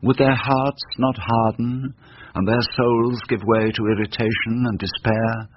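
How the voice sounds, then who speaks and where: A man with an English accent narrating a story in a measured, literary, dramatic style. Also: medium pitch, little pitch movement. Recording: muffled, faint music behind.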